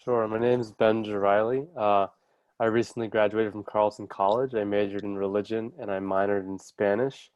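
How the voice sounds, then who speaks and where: A man's voice: fairly low pitch, steady tone, normal speed, a little mellow, smooth, no room sound, highs cut off. A young man in his twenties, a recent college graduate volunteer, answering thoughtfully and hedging with "I guess" and "sort of". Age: 20s. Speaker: service corps volunteer. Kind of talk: reflective answers.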